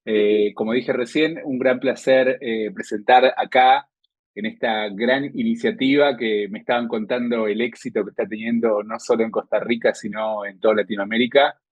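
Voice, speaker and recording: A middle-aged man from Argentina with an Argentine accent, an intensive care doctor giving an online lecture in a measured way, with many hesitant fillers. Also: mid-range voice, steady tone, dry recording, narrow, tinny audio. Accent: Argentine Spanish.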